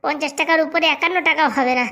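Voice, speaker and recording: A woman's voice: high-pitched, steady tone, very fast, bright, forceful tone. A woman voicing a cheeky, poor young man in a comic cartoon, glib, colloquial and stubbornly argumentative. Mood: stubborn, indignant.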